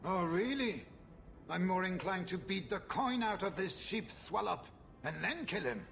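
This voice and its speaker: A man's voice: high-pitched, sing-song, unhurried, gravelly, a bit bright, faint music behind, exaggerated voice. An actor voicing a haughty, hot-tempered, ruthless merchant in a video game, pompous and contemptuous in his formal phrasing. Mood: contemptuous anger. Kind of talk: video game cutscene acting.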